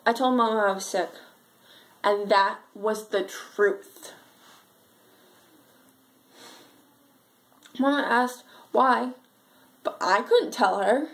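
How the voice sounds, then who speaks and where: A young woman performing an amateur dramatic monologue as a sad, frightened schoolgirl, telling it earnestly with halting pauses. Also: on the high side. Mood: shaken, fearful. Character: sad, frightened schoolgirl recalling her missing friend.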